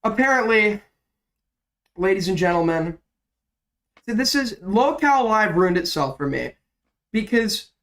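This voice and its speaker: A man's voice: high-pitched, expressive, a little slow, pauses often, a bit bright. A young man doing casual, rambling commentary on a livestream, sick and coughing, his voice cracking now and then, with lots of fillers and swearing. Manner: rambling, profane, filler-heavy. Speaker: drama commentary streamer.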